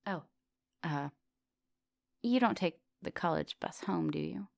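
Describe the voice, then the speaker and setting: A woman playing an immortal vampire professor in an ASMR roleplay, teasing, flirtatious and gently insistent. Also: lowish voice, lively intonation, a little slow, creaky, no echo, exaggerated voice. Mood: fond, reluctant. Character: teasing, thousand-year-old female vampire professor, soft dominant, charming. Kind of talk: ASMR roleplay, intimate one-sided conversation.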